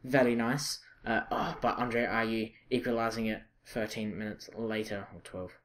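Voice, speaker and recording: Young scottish guy narrating his football game career, chatty, lots of uhs, gets excited. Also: fairly low pitch, moderate pace. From Scotland. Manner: chatty, excitable, many fillers and self-corrections. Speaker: gaming channel host. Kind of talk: casual gameplay commentary.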